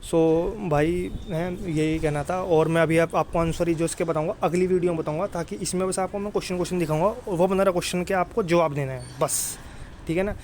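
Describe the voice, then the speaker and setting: A man's voice: on the high side, fairly even intonation, fairly quick, darkish tone. A man giving informal exam coaching, often checking in with listeners in a familiar, brotherly way. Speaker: exam prep teacher. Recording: clear background noise.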